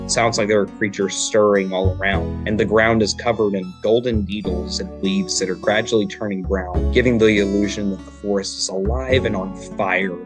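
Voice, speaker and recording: A man narrating a tabletop game as game master, describing the scene at a steady pace. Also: on the low side. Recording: guitar music playing behind.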